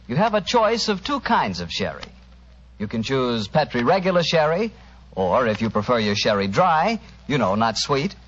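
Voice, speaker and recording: A man's voice: medium pitch, fairly bright tone, a little hiss or hum. An American man announcing a 1940s radio commercial in a conversational, emphatic sales style. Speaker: radio announcer reading a sponsor's advertisement. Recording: muffled old radio recording.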